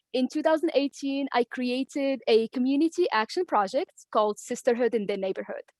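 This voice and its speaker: A woman's voice: high voice, darkish tone, no room sound. A woman from Morocco with a Moroccan accent, earnestly and proudly sharing her story as an ambassador at an online meeting. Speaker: ambassador for a women's nonprofit.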